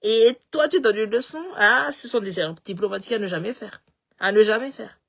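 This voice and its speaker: A woman with a slight Malagasy accent talking in a casual, animated way, repeating words as she goes and switching between languages. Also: medium pitch, fairly quick. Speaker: political commentator. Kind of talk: casual live talk.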